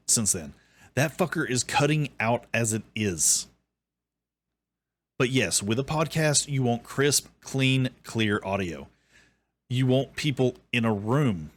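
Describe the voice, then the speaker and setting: Male commentary host, deep bassy voice, sarcastic ranting delivery. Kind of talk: reaction commentary.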